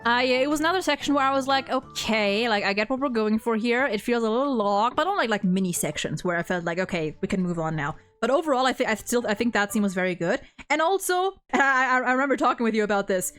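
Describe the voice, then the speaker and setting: A woman's voice: on the high side, expressive, fast talker, few pauses, fairly bright tone, faint music behind. A woman hosting a casual, unscripted game discussion, speaking in an emphatic, opinionated, rambling way. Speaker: host of a video game story ranking discussion.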